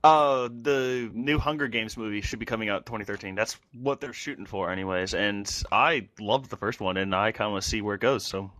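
A man's voice: on the low side, brisk, few pauses, fairly bright tone, no echo. A young man co-hosting a casual podcast chat, wry and joking. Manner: wry, joking, laughs often. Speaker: podcast co-host.

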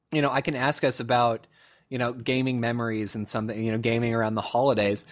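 Man musing aloud on a podcast. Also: mid-range voice. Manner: musing, thinks aloud with fillers and restarts. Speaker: podcast host. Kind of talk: casual chat.

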